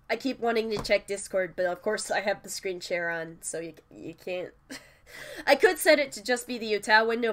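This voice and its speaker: Stuffy-voiced woman chatting through a tutorial. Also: medium pitch. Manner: chatty, laughing, mildly swearing asides. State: stuffy from a cold. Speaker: music producer teaching vocal synth tuning. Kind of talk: casual screen-share tutorial.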